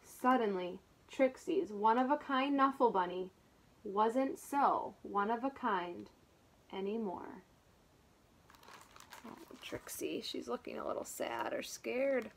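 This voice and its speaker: A woman teacher reading a picture book aloud to small children, expressive, with asides and simple explanations. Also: a little high, slow pace, vocal fry. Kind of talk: animated read-aloud storytelling for small children.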